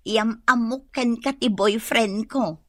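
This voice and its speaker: A woman's voice: fairly high pitch, slow, a bit bright, dry recording. A woman playing a sassy, dramatic young girlfriend in a comedic radio drama. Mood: teasing.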